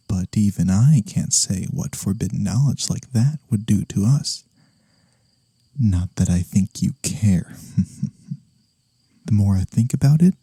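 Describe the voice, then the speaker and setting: A man acts out a courteous incubus demon in an ASMR fantasy roleplay. The voice is low, very mellow and close, almost without room sound. He speaks slowly and formally, with a gentle teasing edge. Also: husky, no room sound, full character voice.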